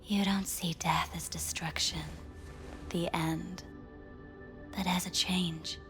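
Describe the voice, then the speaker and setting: An actress playing a calm, enigmatic woman counsellor in a video game, speaking in a breathy, soothing, measured way. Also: on the low side. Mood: hushed reassurance. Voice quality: breathy, whispery. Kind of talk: cinematic video game acting. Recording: music playing behind the voice. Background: music.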